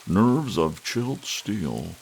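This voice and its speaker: A man's voice: sits low, slow, vocal fry, voice pushed up, exaggerated voice, poor audio. A man voicing a big, sardonic, drunken city editor in his forties, with a theatrical, mocking manner, in a storybook reading. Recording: steady hiss, rain sound underneath.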